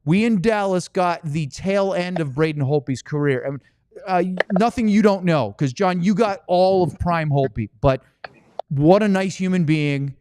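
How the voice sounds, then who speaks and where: A middle-aged man hosts a sports podcast in a smooth, clear voice pitched a little high. He chats easily in an anecdotal way, and the sound is dry and close. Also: a little slow, a little mellow, no echo. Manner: chatty, anecdotal. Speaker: podcast host and sports broadcaster. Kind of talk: casual sports podcast chat.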